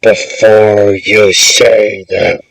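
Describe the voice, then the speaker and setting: A man voicing an ancient time dragon, by turns ponderous and hurried in its delivery. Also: on the low side, flat delivery, gravelly, voice pushed down, full character voice, rough recording. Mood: slow, deliberate. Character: ancient time dragon shifting between slow and rushed speech.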